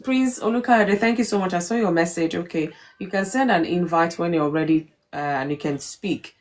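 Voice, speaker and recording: Woman, middle-aged, live stream host, faith talk, low-ish voice. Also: normal speed. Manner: warm greetings to viewers, many fillers.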